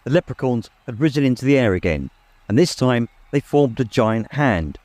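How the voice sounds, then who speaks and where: A man's voice: medium pitch, animated, moderate pace, a little mellow, no room sound. A man narrating a story in a dramatic, scripted audiobook style.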